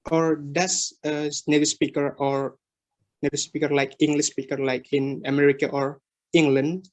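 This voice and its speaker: A man whose first language is Indonesian politely asks his teacher a careful question in an online class, searching for his words. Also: on the high side. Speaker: student.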